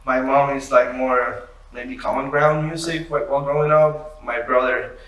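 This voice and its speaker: A man's voice: medium pitch, steady tone, moderate pace, a bit bright, distant mic. A man from Puerto Rico and Chile, a band member guest talking loosely about his band. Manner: loose, rambling, laughs along. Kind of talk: casual interview chat. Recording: roomy, off-mic with faint noise.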